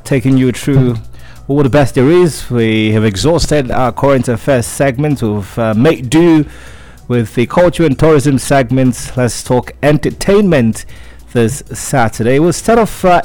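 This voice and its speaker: A man's voice: medium pitch, measured pace, darkish tone, faint music behind. A man hosting a radio show, chatting through entertainment news with lots of fillers and restarts.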